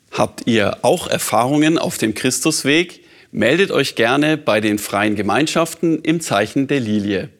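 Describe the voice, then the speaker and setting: Earnest man presenting letters. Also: medium pitch. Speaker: program presenter. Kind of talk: introducing and reading listener letters.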